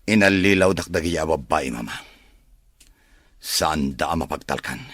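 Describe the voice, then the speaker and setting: A man's voice: very deep voice, moderate pace, a bit bright, no echo, studio quality. A man playing an earnest rich son and father-to-be in a radio drama, with an Ilocano Filipino accent. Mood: earnest, pleading. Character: Oliver, wealthy son, earnest expectant father.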